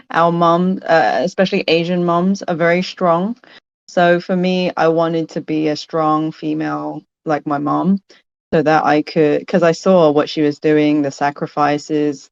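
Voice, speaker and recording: A woman from London, a podcast guest who answers thoughtfully, pausing and restarting phrases. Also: sits low, gravelly, a little mellow, no room sound, dull audio. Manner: thoughtful, hesitant, restarts phrases and laughs often. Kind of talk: casual interview conversation.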